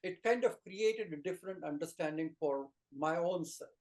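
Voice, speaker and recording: A man's voice: fairly high pitch, normal speed, darkish tone. A middle-aged man with an Indian accent, an Ayurvedic teacher explaining earnestly as an interview guest, building his points in short phrases and lists. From rural India.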